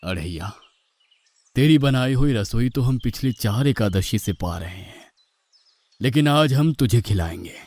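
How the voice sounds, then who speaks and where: A man's voice: medium pitch, animated, a little slow, a little mellow. A man voicing a kindly, indulgent god in human form in a cartoon, coaxing and instructing patiently. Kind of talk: Hindi cartoon mythological acting.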